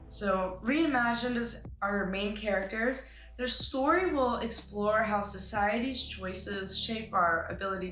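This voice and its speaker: A woman presents a creative project in an earnest, articulate way, reading prepared lines with the odd informal aside. Her pitch sits at a middle height. The room adds noticeable echo, and music plays softly behind her. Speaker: animator and writer pitching a short film. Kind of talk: scripted pitch presentation to camera, with light asides.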